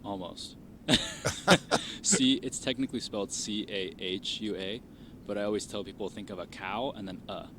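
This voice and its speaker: Quiet, low-voiced man from Peru. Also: moderate pace. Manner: soft-spoken, quiet. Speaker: interviewee. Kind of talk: casual chat. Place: home near running water. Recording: distant mic with loud background noise.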